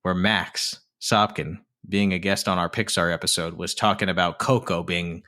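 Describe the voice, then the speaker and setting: A man's voice: deep voice, steady tone, moderate pace, sharp tone. A young man co-hosting a film podcast, talking casually and thoughtfully with frequent restarts. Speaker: podcast co-host.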